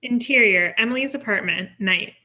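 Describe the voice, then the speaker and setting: A woman's voice: mid-range voice, moderate pace, sharp tone. A woman reading stage directions as scripted narration, neutral and matter-of-fact. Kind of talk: scripted narration at a table read.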